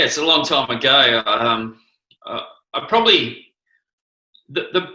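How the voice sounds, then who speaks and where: A man's voice: medium pitch, pushed and bright, roomy sound, rough recording. A man with an Australian accent answering interview questions, hesitant, with many restarts and ums. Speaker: interview guest, former cricketer.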